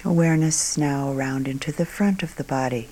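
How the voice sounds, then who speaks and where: A woman meditation teacher guiding a meditation calmly and unhurriedly, in short phrases with long pauses, her voice soft and breathy at phrase ends. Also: sits low, a little hiss or hum. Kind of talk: guided meditation.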